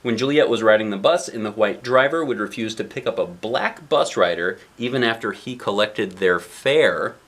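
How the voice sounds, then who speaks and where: A man's voice: on the low side, lively intonation, moderate pace, few pauses, a bit bright. A man reading a picture book aloud as a dad would, expressively, with casual asides to children and weighty emphasis on key lines.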